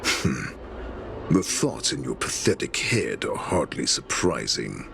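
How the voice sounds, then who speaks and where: An actor playing a savage, proud wolf-like warlord in a video game, growling with menace and contempt. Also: male voice, big pitch swings, takes it slowly, exaggerated voice. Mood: menacing contempt. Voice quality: deep gravelly growl. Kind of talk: theatrical video game villain acting. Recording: dramatic music behind. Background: music.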